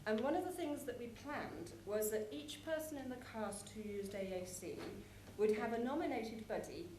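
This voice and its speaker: A woman giving a prepared conference presentation, explaining things in measured phrases with deliberate pauses. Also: on the high side, lively intonation. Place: large conference hall with an audience. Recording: echoey conference hall, clear background noise.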